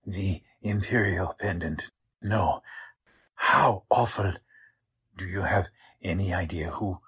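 Pompous mind reader, low very breathy husky voice, slow delivery, 1940s radio theatrics. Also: male voice, a bit bright, full character voice. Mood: dismayed. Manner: slow, pompous, wounded dignity. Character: pompous, theatrical male stage mind reader, short of stature. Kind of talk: 1940s radio drama acting.

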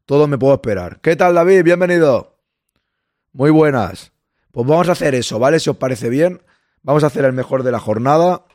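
A man with a Spain Spanish accent hosting a casual livestream, a bit hoarse, chatting with viewers and greeting them by name. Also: mid-range voice, darkish tone, no room sound, studio quality. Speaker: livestream host. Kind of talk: casual live chat with viewers.

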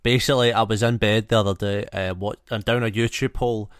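A man's voice: on the low side, fairly even intonation, quick pace, clear, resonant tone, dry recording, clean studio sound. A man co-hosting a podcast, joking and rambling in casual conversation. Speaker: podcast co-host. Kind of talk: casual banter.

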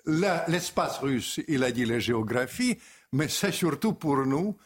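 An older man with a Russian accent, a former diplomat and writer talking as a guest in an animated, emphatic TV debate. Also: a little high, takes it slowly, breathy edge.

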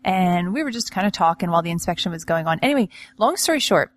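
A chatty woman co-hosting a relaxed podcast, winding through a long story. She laughs often, trails off and restarts her sentences. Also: fairly low pitch, quick pace. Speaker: real estate agent and podcast host. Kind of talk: casual chat.

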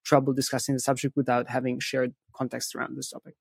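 A man's voice: medium pitch, completely flat delivery, fast, creaky, husky, no echo. Man explaining thoughtfully, dry close sound. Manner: often tags statements with a questioning "right?". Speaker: interview guest, content agency specialist.

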